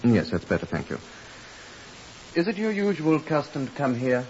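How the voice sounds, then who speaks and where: An actor playing a composed, weary Scotland Yard superintendent with a British accent and a dry manner, in 1950s radio drama style. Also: male voice, mid-range voice, wildly swooping pitch, normal speed, darkish tone. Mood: brisk, questioning. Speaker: actor narrating and playing a detective. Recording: muffled old radio recording with hiss.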